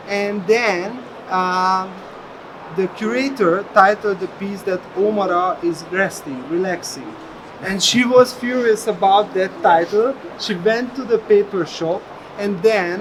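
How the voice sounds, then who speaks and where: A gallerist explaining an artist's work informally, in a storytelling way. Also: medium pitch. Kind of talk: informal spoken explanation at an art fair. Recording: noisy fair hall background. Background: ambient fair hall noise.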